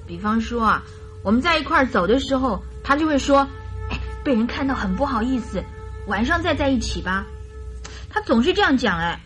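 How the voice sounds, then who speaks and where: A woman's voice: on the high side, sing-song, brisk, a bit bright, soft background music. An actress playing an earnest, naive young bride-to-be in a radio drama, recounting her story in a hesitant, chatty way. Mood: shy, earnest.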